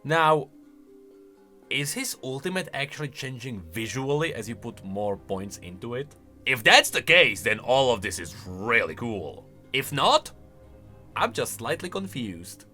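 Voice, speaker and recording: A man giving casual, opinionated reaction commentary as a gaming lore commentator, in an animated manner. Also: mid-range voice, unhurried, faint music behind.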